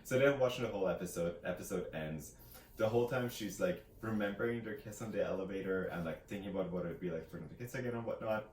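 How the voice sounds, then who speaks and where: A man's voice: low-pitched, fast talker, a little mellow. A man co-hosting a casual book chat, retelling things in a rambling way and often acting out quotes. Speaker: co-host of a book review channel. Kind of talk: casual chatty book discussion. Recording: roomy echo, distant mic, faint hiss.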